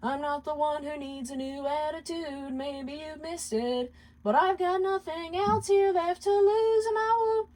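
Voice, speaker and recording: Demon underling, played by a woman: male, defiant, theatrical, high-pitched, given to smug sung comebacks. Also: fairly even intonation, unhurried, darkish tone, faint music behind. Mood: smug defiant singing.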